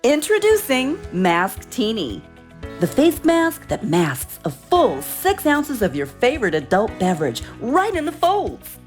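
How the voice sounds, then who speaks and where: A woman's voice: fairly high pitch, huge pitch swings, unhurried, faint music behind. A woman voicing a salesy announcer in a parody commercial.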